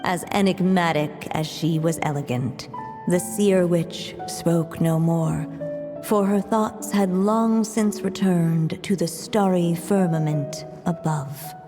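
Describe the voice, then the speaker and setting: A woman narrating a fairy tale in a video game, as a solemn, gentle storyteller. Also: fairly low pitch, slow. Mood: measured, solemn. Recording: long hall reverb, music underneath.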